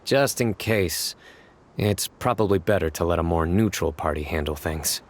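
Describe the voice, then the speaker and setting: Stern quiet judge, very low voice, short and guarded. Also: male voice, moderate pace, a little hiss or hum. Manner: calm, terse, guarded. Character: stern, reserved young man, a feared judge. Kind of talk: video game cutscene voice acting.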